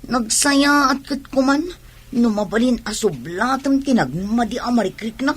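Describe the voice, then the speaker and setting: Husky, fretful young pregnant wife. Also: female voice, fairly high pitch, animated, normal speed. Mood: weary, complaining. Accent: Filipino, Ilocano. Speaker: radio drama actress. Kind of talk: Ilocano radio drama acting.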